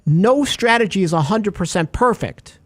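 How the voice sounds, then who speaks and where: Lively, animated man hosting radio talk. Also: high voice, moderate pace, dry recording, studio quality. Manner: animated storyteller, acts out little scenes. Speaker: radio show host. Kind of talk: casual talk-radio chat.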